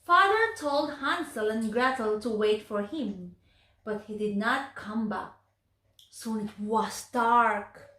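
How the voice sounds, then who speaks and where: A woman narrating a children's story in an expressive, deliberate storytelling manner. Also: fairly high pitch. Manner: slow, deliberate, animated delivery for children. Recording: echoey room.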